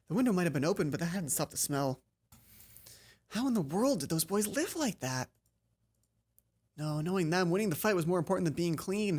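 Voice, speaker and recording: A woman's voice, fairly low and rough around the edges, narrates a story game with faint music underneath. She stumbles through the text now and then and drops in casual, exasperated remarks of her own. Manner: stumbles over read text, exasperated asides. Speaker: let's play host. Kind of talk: reading visual novel aloud with casual commentary.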